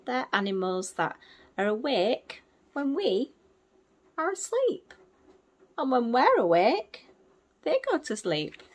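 Woman telling a slow, lively children's story. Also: fairly high pitch. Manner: simple, expressive, child-directed phrasing. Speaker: teacher reading a picture book to young children. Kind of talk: storytelling to small children with explanatory asides. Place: small indoor room at home.

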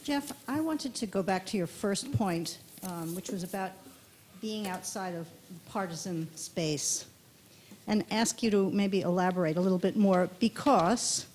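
A woman's voice: medium pitch, a little slow, a little mellow, slight background noise. A woman putting a probing question from an audience floor mic in an auditorium, thinking aloud as she goes. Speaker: audience member asking a question. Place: auditorium aisle. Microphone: floor stand mic.

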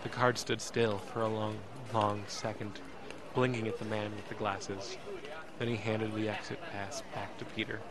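An actor playing an earnest, emotional young man, narrating an audio drama in the first person with deliberate, dramatic pauses. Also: lowish voice, flat delivery, a crowd in the background, lightly acted. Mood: tense, dramatic. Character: earnest, emotional young man telling his escape story.

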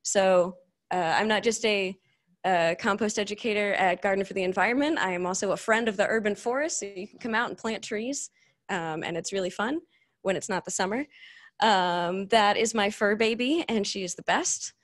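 A woman's voice: medium pitch, normal speed. A woman giving a casual tech meetup presentation to a room, speaking informally with enthusiastic asides. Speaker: conference speaker, cloud security engineer. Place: meetup room before a seated audience.

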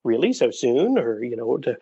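A man's voice: medium pitch, very animated, moderate pace, dark tone, slightly rough, no echo, muffled recording. A man who is a podcast guest, speaking earnestly and searching for words in a casual interview. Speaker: podcast guest, dating coach for widowers.

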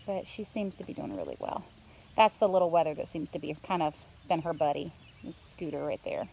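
A woman's voice: deep voice, sing-song, brisk, creaky, dark tone. A middle-aged woman giving a casual, rambling, unscripted vlog narration from behind a handheld phone outdoors on a farm. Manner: chatty, rambling while showing things around. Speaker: homesteader filming updates. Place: outdoors around a farmyard, coops and pens. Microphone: handheld phone, speaker behind camera. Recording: noisy outdoor phone recording with animal sounds. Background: farm ambience and animal sounds.

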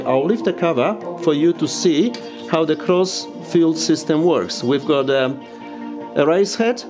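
A man giving an informal hands-on demonstration, hesitant and searching for words. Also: fairly high pitch. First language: not English. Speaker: video presenter demonstrating audio gear. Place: indoors at home, by a tape deck. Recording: dull lo-fi home recording.

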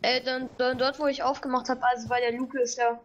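Higher-voiced female gaming teammate. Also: little pitch movement, fairly quick, dull audio, a little hiss or hum. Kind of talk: casual in-game chat.

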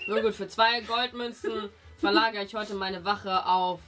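A woman voicing a gruff, greedy, easily offended male village guard in a tabletop fantasy game, giving pompous commands. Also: mid-range voice, steady tone, unhurried, heavy background noise, natural voice. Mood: grudging, greedy.

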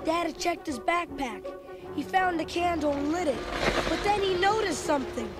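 A performer playing an excitable young boy who tells his adventure as animated, dramatic read-along storybook narration. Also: extremely high pitch, normal speed. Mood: excited, urgent. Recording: loud music under the voice. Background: loud dramatic music.

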